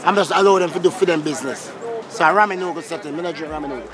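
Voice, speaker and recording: Fast-talking Jamaican man, emphatic. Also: a little high, over a noisy crowd. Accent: Jamaican Patois. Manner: animated, emphatic complaining. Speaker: taxi driver. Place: busy street outdoors.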